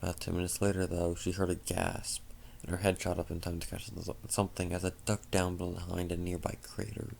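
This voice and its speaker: A tired man narrating a fan fiction story as an amateur reader, halting and stumbling over words. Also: sits low, flat delivery, vocal fry, a little mellow. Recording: faint background noise.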